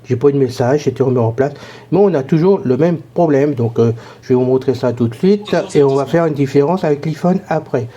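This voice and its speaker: Hoarse, dark-voiced man chatting. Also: mid-range voice, brisk, muffled recording. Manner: chatty, rambling with fillers. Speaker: tech tutorial presenter. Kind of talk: casual spoken tutorial.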